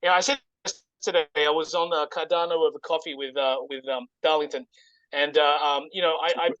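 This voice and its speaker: A man giving an informal, halting explanation as a guest presenter in casual conversation. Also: fairly high pitch, steady tone, fairly bright tone, no room sound, muffled recording. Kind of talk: informal project pitch, casual chat.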